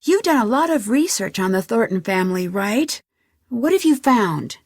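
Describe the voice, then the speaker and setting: An actress with an American accent playing an inquisitive, determined young amateur detective in a video game, speaking clearly and questioningly. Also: female voice, a little high, sing-song, moderate pace, dry recording, clean studio sound. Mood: curious, probing. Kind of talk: scripted video game dialogue.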